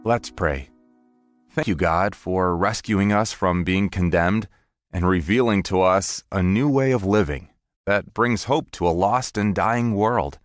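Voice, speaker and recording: A man reading a devotional and prayer in a calm, measured, reverent way. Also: sits low, dry recording. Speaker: devotional reader. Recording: dry studio voice over faint music.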